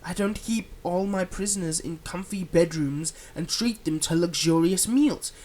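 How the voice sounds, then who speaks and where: A man acting a soft, coaxing supervillain, noisy recording. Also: high-pitched, moderate pace, close to an everyday voice. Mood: vengeful, intense. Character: manipulative, soothing male supervillain, tenderly possessive of his captive. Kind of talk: ASMR roleplay acting.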